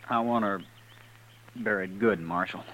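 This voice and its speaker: An actor playing an earnest, fearful American farm son in a 1950s radio western, plain and deferential. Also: male voice, fairly low pitch, unhurried, fairly bright tone, low-quality recording. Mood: subdued, nervous. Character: earnest, fearful farm son under his father's thumb. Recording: old radio recording with faint noise.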